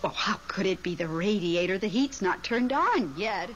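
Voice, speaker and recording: An actress playing a nervous woman confiding over the telephone in a 1970s radio drama, anxious and halting. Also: medium pitch, animated, close to an everyday voice. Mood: startled, uneasy. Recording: dull, noisy 1970s radio broadcast recording.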